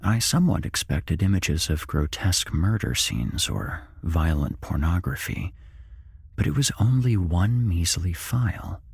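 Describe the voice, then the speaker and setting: A man's voice: deep voice, very animated, unhurried, gravelly, husky, no echo, clean studio sound. A man narrating a scripted horror story in a whispered ASMR style.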